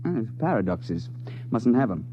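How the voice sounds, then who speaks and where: An actor playing a seasoned British big-game hunter and safari guide in a 1950s radio drama, brisk and matter-of-fact. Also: male voice, medium pitch, sing-song, slight background noise. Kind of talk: 1950s radio drama acting, narration and dialogue. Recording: muffled 1950s radio recording, music behind.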